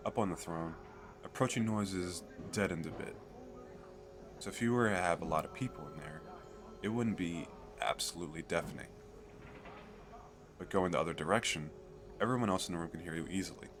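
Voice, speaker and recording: A man playing an eager, chatty fantasy merchant in an audio roleplay, talking the listener through a sales pitch in a hesitant, rambling, persuasive way. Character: eager, chatty male fantasy merchant selling magical crystal castles.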